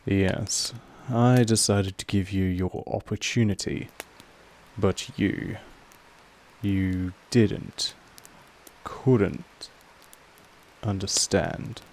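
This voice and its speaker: A man voicing a possessive, patient, commanding vampire master in a roleplay audio monologue, speaking in a slow, deliberate way with weighty pauses. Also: steady tone, a little mellow, slight background noise, full character voice. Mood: grave, reflective. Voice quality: low, creaky. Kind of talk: scripted roleplay audio monologue addressed to the listener.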